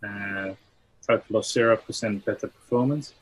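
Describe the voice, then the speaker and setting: A man with a fairly low voice gives an informal screen-share demo in a deadpan way. He is on a video call with slight noise and room ambience behind him. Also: normal speed, low-quality recording. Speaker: guest plugin developer demonstrating.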